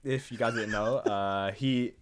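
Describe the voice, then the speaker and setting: A man with a medium-pitched voice chats casually as a podcast co-host. He is blunt and opinionated, and swears freely as he goes. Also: fairly even intonation, measured pace.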